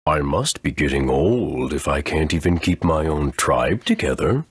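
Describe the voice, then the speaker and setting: An ancient, solemn elder of a creature tribe for a video game. The voice is extremely deep and very husky, with slow, formal, weighty phrasing in a dry recording. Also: male voice, lively intonation, no room sound, heavily acted. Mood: gruff, measured. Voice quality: husky, rumbling.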